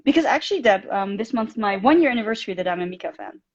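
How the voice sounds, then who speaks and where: A woman from the Netherlands with a Dutch accent, guesting on a fan live stream over a video call, friendly and quick to laugh. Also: mid-range voice, expressive. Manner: friendly, laughs often, soft-spoken. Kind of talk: casual chat. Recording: muffled video call audio.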